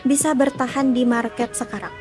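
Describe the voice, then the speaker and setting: A woman's voice: sits high, steady tone, moderate pace, a little mellow. A young woman hosting a casual interview in an upbeat way, often repeating the guest's words and nodding along with short replies. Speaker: host. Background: background music.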